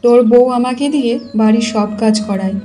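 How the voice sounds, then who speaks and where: A woman voicing a meek, kindly, long-suffering older mother in a cartoon, speaking with soft-hearted pleading. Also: fairly high pitch, steady tone, fairly quick, soft background music, close to an everyday voice. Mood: plaintive.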